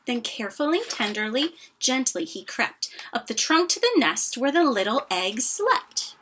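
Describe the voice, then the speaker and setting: A woman narrates a children's storybook in a high, bright voice. Her delivery is very animated and expressive, with dramatic emphasis and softer asides to the listeners. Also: normal speed, a little hiss or hum.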